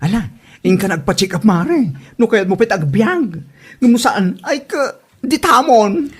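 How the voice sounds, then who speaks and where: A woman acting a blunt, teasing friend with a husky voice in an amateur comedy drama. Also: on the high side, unhurried. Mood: blunt, grumbling.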